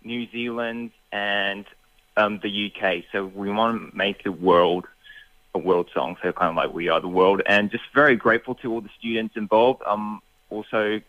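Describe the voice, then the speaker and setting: A man calling in as a guest, explaining his project hesitantly with many pauses and fillers. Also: lowish voice, little pitch movement, dry recording, dull audio.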